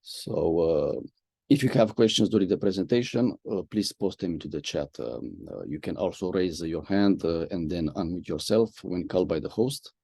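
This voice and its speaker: Host-type man, low and mellow, dry close sound, video-call moderator with filler-heavy delivery. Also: moderate pace, creaky, breathy edge, dry recording. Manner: frequent "uh" fillers, friendly moderator. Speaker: host, media and communication lead. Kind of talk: webinar hosting.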